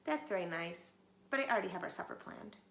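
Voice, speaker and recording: A woman's voice: on the low side, lively intonation, fast talker, gravelly, fairly bright tone. A woman voicing a stern, weary mother wolf who sighs and brushes things off. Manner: sighing, dismissive. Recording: roomy echo, faint background noise.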